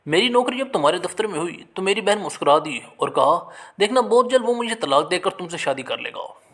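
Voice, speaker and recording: Bitter young wife played by a male narrator, grieving and accusing, animated delivery for an emotional storytelling piece. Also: sits high, fairly quick, full character voice. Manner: accusing, reproachful.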